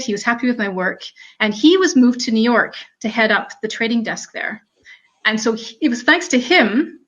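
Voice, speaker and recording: A woman in her thirties with a Canadian accent, telling her career story in a relaxed talk full of ums and "you know". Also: medium pitch. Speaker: panel speaker. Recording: muffled lo-fi audio.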